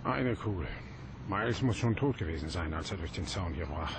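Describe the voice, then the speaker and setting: Plain-talking cop buddy, lowish voice, matter of fact, radio play, noisy outdoor background. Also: male voice, expressive, moderate pace. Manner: matter-of-fact, colloquial, familiar. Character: familiar, plain-spoken, exasperated male police detective. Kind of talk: German radio play acting.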